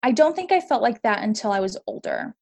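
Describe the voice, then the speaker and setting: A woman's voice: a little high, big pitch swings, a little fast, creaky, darkish tone. A young adult woman, a podcast guest, talking earnestly and filling her speech with "like". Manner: earnest, uses "like" a lot. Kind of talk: casual podcast interview.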